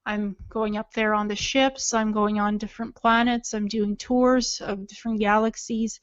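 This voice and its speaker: A woman's voice: mid-range voice, dry recording, muffled recording. A woman from Ukraine giving unscripted personal testimony in an earnest, matter-of-fact manner. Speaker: self-described alien contactee telling own story.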